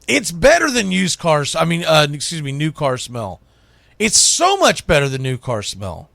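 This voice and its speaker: A middle-aged man with a slightly high voice, recorded close and dry. He talks like a sports radio host making his case, emphatic on the key points and folksy in his asides. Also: huge pitch swings, moderate pace, creaky, dry recording. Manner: emphatic, announces each item loudly then drops into quieter musing. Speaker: radio host and baseball play-by-play announcer. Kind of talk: opinionated sports monologue counting down a list.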